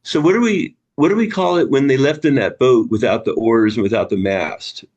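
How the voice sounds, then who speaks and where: A man's voice: mid-range voice, fairly quick, a little mellow, lo-fi sound. A man leading a book study group on a video call, casual and friendly, thinking aloud with restarts and chuckles.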